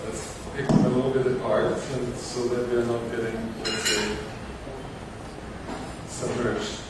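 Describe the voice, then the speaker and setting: A man's voice: mid-range voice, level tone, unhurried. A man moderating a conference discussion without a script, steering it from one speaker to another. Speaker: panel moderator. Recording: roomy, distant mic, noisy hall.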